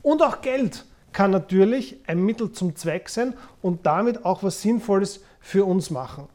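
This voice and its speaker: A man's voice: high-pitched, expressive, measured pace, darkish tone. A man in his mid-forties with a Viennese accent talks as a podcast host. He explains things off the cuff and often doubles a word to stress his point. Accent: Viennese Austrian. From Vienna, Austria. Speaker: podcast host, former investment banker. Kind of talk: unscripted podcast talk about money.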